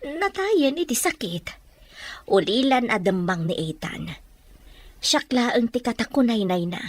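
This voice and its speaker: Radio drama: protective, defensive godmother, very expressive, close dry studio sound. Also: fairly high pitch, unhurried, dry recording. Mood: earnest explaining. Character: devoted, defensive woman, godmother raising an orphaned child.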